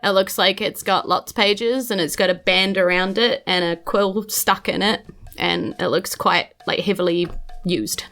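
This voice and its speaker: A woman with a New Zealand accent guides a tabletop game. She describes scenes loosely and conversationally, in a mid-pitched voice, with faint music underneath. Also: steady tone, normal speed. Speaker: game master. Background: soft background music.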